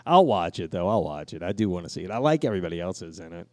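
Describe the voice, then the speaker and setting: A man's voice: deep voice, big pitch swings, fast talker, no echo. A man chatting casually as a podcast co-host in a crude, profane, joking way. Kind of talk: casual chat.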